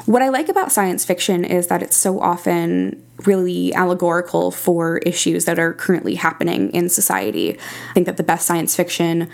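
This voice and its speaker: A woman's voice: lowish voice, lively intonation, normal speed, vocal fry. A woman speaking conversationally as an interview guest, enthusiastic, thoughtful and articulate. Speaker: interview guest, writer and audio drama creator.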